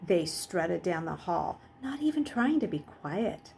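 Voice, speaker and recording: A woman reading a children's novel aloud as an expressive storyteller. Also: fairly low pitch, unhurried, gravelly, a little hiss or hum. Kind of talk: expressive audiobook-style narration.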